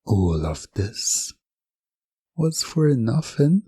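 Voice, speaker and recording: A man narrating a scripted hypnosis ASMR story in a hushed, suggestive way with dramatic pauses. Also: mid-range voice, sing-song, breathy edge, dry recording.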